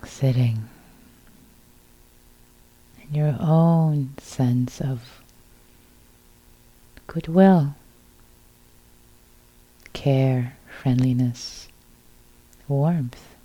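A woman meditation teacher leading a guided meditation in a soft, breathy, gentle voice, with short phrases set between long silences. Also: extremely low pitch, very slow, long pauses, poor audio.

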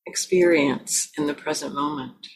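A woman meditation teacher leading a guided meditation in a gentle, unhurried manner with long pauses. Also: low-pitched, wildly swooping pitch, gravelly, husky, low-quality recording.

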